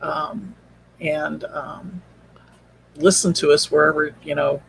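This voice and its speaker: A man speaking as a podcast guest and producer in casual, halting chat, thinking aloud. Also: a little high, steady tone, creaky, a bit bright, slight background noise, poor audio.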